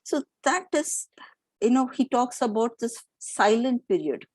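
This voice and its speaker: A woman's voice: fairly high pitch, lively intonation, unhurried, a little mellow, no echo. A middle-aged Indian woman giving an explanatory lecture to teachers, with fillers and restarts. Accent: Indian English.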